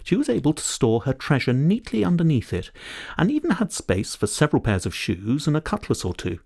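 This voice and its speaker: A man reading a children's storybook aloud in expressive, scripted narration. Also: a little high, a little fast, creaky, husky, no room sound, clean studio sound. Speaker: audiobook narrator.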